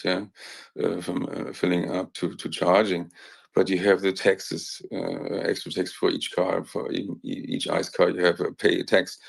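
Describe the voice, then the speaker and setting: Guy, real low gravelly voice, stumbles and restarts a lot. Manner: halting, many restarts. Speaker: guest speaker. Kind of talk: casual chat.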